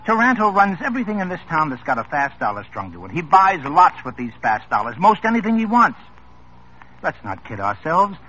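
An actor playing a blunt, cynical police detective who talks in a brisk, lecturing way in an old radio crime drama. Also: male voice, on the high side, very animated, pushed and bright, slight background noise. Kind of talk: 1950s radio drama acting. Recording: dull 1950s radio drama recording.

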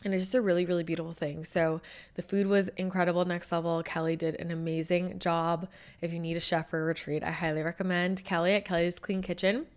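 A woman in her thirties hosting her own show, hoarse and a little scratchy after losing her voice, talking in an upbeat, chatty way. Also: fairly low pitch, flat delivery, fast. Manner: chatty and upbeat, with laughs and fillers. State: hoarse, recovering from lost voice. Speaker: podcast host. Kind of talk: casual solo chat.

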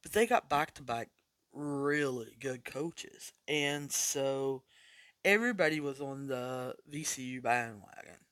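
A man's voice: medium pitch, fairly bright tone, no echo, studio quality. A man hosting a sports betting podcast in a casual, chatty way while recovering from losing his voice. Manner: casual, chatty, deliberate picks. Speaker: podcast host.

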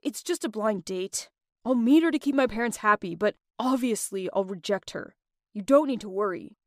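A woman's voice: medium pitch, lively intonation, moderate pace, darkish tone, dry recording, lightly acted. Defensive young boyfriend, voiced by a woman, dry and close. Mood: dismissive. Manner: curt, dismissive. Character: young man, defensive boyfriend who dodges conflict.

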